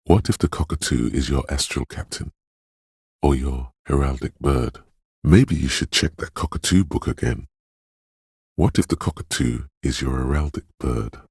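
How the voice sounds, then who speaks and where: A man voicing a video game's inner voice of intuition, dreamy, ominous and mysterious, narrating in a portentous, poetic way with a deep, gravelly, husky tone. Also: moderate pace, a little mellow, dry recording, clean studio sound, full character voice. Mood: musing, mysterious. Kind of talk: scripted second-person video game narration.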